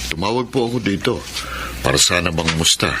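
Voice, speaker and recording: A man's voice: sits low, animated, fairly quick, noisy background. A Filipino man playing a devoted, respectful middle-aged stepfather in a radio drama. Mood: respectful, regretful.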